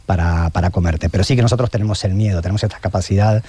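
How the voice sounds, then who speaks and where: A man's voice: low voice, very fast, dark tone, breathy edge, no room sound. A middle-aged man from Argentina with an Argentine Spanish accent, giving reflective, explanatory answers as a guest in a conversational interview. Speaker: interview guest, long-distance open-water swimmer.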